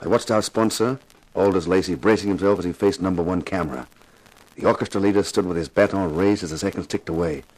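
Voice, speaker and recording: An actor playing an intense television detective in a 1950s radio crime drama, narrating and questioning in a deliberate, insistent way. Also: male voice, low voice, a little mellow, low-quality recording. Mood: tense, confiding.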